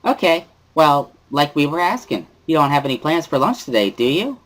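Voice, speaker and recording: A man voicing a bubbly, loud teenage schoolgirl with an exuberant, sing-song, giggly manner in a visual novel reading. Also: fairly high pitch, very animated, moderate pace, pitched above the performer's own voice. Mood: bright, curious.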